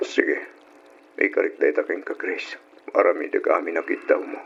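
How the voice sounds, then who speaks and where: A man's voice: sits low, steady tone, normal speed, gravelly, highs cut off, slight background noise. An Ilocano man acting an ardent, regretful young lover in an old-style radio drama. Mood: sorrowful. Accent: Filipino, Ilocano.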